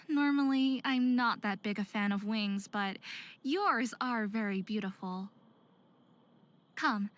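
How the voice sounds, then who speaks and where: An actress playing a sensitive, anxious young hybrid woman in a visual novel, introspective and expressive. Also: a little high. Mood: gentle. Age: young adult. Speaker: lead character narrator. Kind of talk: visual novel voice acting, soliloquy and diary narration.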